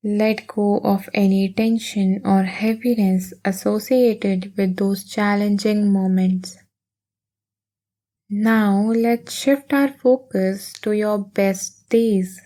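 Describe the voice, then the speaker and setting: A woman leading a guided meditation in a soothing, gentle, unhurried manner with long pauses. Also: medium pitch, fairly even intonation.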